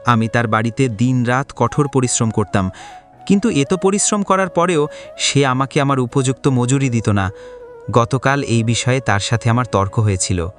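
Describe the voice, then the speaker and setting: A man voicing a humble, flattering poor farm labourer in a cartoon, speaking in a deferential, pleading way. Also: medium pitch, normal speed, faint music behind, natural voice. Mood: aggrieved. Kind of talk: cartoon dialogue acting.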